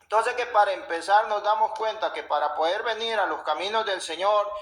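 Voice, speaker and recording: A man's voice: high-pitched, a little fast, fairly bright tone. A man with a Guatemalan accent preaching, reciting and explaining scripture, often adding tag questions. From Guatemala City, Guatemala. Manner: frequent "¿verdad?" tags. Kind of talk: sermon with scripture reading and exposition.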